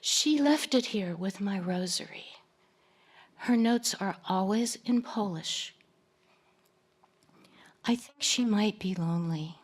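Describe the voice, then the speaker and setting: An older woman with a soft voice, introducing and reading her poem aloud at a community event. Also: mid-range voice, breathy edge. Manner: gentle, unhurried. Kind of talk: poetry reading with spoken introduction.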